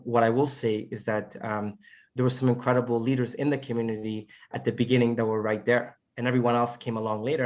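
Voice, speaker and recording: Guy, native farsi speaker, earnest panel answer, monotone, repeats himself, dull lo-fi call sound. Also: medium pitch. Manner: halting, repeats phrases, earnest. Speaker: panelist, family advocate. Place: online video call.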